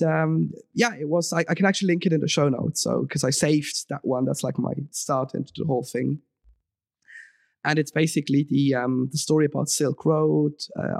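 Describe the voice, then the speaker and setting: A man's voice: a little high, gravelly, no room sound, studio quality. A young man from Switzerland, a podcast guest talking casually, rambling with many ums and restarts. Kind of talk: casual interview talk.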